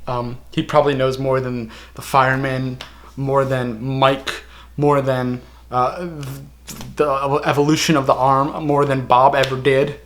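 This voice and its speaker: A man hosting a casual fan discussion, speaking in a halting, rattled and intense way. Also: mid-range voice, little pitch movement, fairly bright tone. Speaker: video host.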